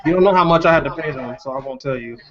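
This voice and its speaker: A man with a somewhat high-pitched voice hosts a relaxed group chat. He comes across as earnest with a playful streak. The recording is a little muffled and lo-fi. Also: rapid-fire, crowd noise. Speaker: host and indie comic writer. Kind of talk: casual panel discussion. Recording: dull, muffled lo-fi audio.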